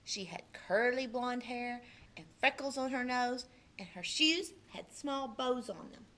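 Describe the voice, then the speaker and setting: A woman, a librarian, reading a children's picture book aloud with expressive delivery. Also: fairly high pitch, a little slow. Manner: expressive, puts on character voices in quotes. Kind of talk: storybook read-aloud.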